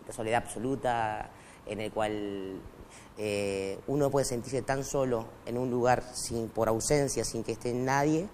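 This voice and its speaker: A man's voice: mid-range voice, fairly even intonation, unhurried, a little mellow, a little hiss or hum. Hesitant man with a Quechua first language. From Argentina, raised in Bolivia. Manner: hesitant, many fillers and restarts. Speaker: guest writer. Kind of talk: casual interview talk. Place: TV studio. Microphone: lapel mic.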